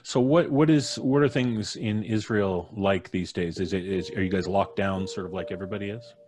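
A man's voice: low-pitched, moderate pace, a little mellow, faint music behind. A middle-aged man casually hosting a live podcast stream, speaking into a studio microphone indoors. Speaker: podcast host. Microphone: studio microphone on a boom arm.

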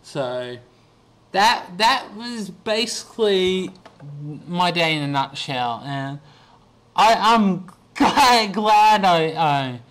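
A man in his twenties talks slowly into his webcam from a small room, worn out and going hoarse. He wanders through his story with plenty of ums and false starts, as if just chatting to friends. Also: high voice, very animated, a bit bright. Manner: rambling, slow, many ums and restarts. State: losing his voice, tired. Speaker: vlogger. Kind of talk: casual video diary. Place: small bedroom indoors. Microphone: webcam at desk.

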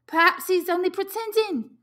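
A woman voicing an anxious, stubborn boy in an audiobook, shrill, excited and insistent. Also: normal speed. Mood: frantic, excited. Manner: excited, insistent, ungrammatical schoolboy talk.

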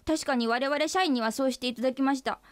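Woman playing earnest female employee, indignant, forthright, fairly high pitch, dry close sound, comic radio drama. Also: quick pace, dry recording, close to an everyday voice. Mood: accusing, insistent. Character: earnest, insistent female office employee confronting her boss.

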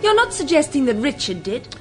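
A woman's voice: high voice, big pitch swings, fairly quick, music in the background. An actress playing an insistent, well-bred young woman with an English accent in a radio drama. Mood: upset, insistent.

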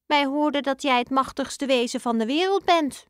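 A woman voicing a fussy father mouse in a children's storybook, squeaking between phrases. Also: normal speed, fairly bright tone, dry recording, clean studio sound. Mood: pleased.

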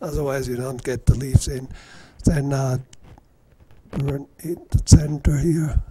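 A middle-aged man with an Austrian accent giving a casual, halting workshop demonstration, with self-deprecating asides. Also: medium pitch, fairly even intonation, warm tone, slight background noise, poor audio. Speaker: woodturning demonstrator. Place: club meeting room.

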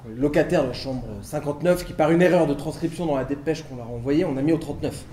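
An actor playing a fussy, flustered gentleman lover in a stage farce, blustering, exasperated and repeating himself emphatically. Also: a little high, fast, natural voice. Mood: preoccupied. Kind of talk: broad theatrical stage farce acting. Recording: echoey stage, faint background noise.

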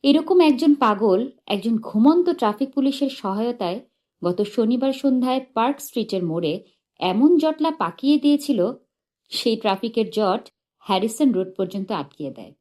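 High-pitched, animated woman storyteller. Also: moderate pace. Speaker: audiobook narrator. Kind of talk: expressive narration of a humorous short story.

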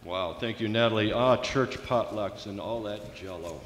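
A man's voice: lowish voice, moderate pace. An older man hosting an old-time radio style program at a podium microphone in a large, echoey church with faint noise. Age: 60s or older. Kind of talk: old-time radio host patter to a live congregation.

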